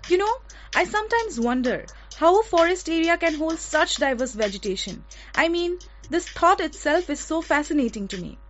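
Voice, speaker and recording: A woman radio host with an Indian accent speaks in a very high-pitched voice. Her delivery is conversational presenting, addressed to a co-host and listeners. The audio is somewhat dull and lo-fi. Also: animated, moderate pace, a little hiss or hum.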